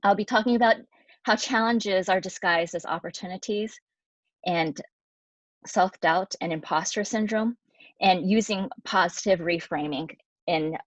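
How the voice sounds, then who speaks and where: A woman in her forties giving a conversational online talk as a guest physician, lively and chatty with fillers. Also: on the low side, measured pace, no room sound. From United States. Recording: dry, dull lo-fi audio.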